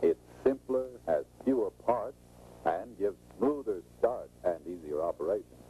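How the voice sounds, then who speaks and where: A man's voice: lowish voice, lively intonation, measured pace, dark tone, slight background noise, rough recording. A middle-aged American man giving scripted, instructive, salesmanlike narration in the style of a 1940s training film, on an old film soundtrack. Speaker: automotive expert presenting a sales training film.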